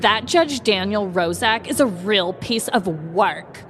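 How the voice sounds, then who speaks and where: A woman's voice: medium pitch, expressive, slow, a bit bright. A woman voicing an indignant small-town gossip in an exaggerated, sassy way.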